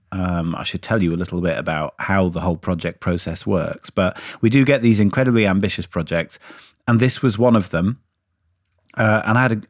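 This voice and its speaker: A man's voice: low-pitched, no echo, clean studio sound. A man who teaches music technology, giving a casual, rambling talk about student projects, full of fillers and restarts. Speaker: music education lecturer. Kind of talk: casual spoken lecture update.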